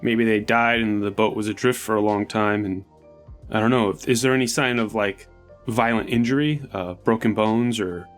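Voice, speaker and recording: Man, tabletop roleplayer, lowish voice, casual table talk, faint music behind. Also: steady tone, normal speed. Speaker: tabletop game player. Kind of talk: casual roleplaying game chat.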